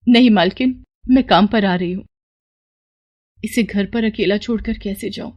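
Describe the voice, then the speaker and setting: A woman plays a poor mother in a moral story, caring but worried. She speaks in an earnest, homely way, moving between pleading and gentle scolding, at a middling pitch. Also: a little slow, lo-fi sound, close to an everyday voice. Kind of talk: moral story character voicing.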